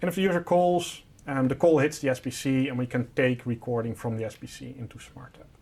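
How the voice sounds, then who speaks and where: A man's voice: medium pitch, steady tone, a little fast, darkish tone. A man presenting a product, explaining a technical solution in an informal, step-by-step and simplified way.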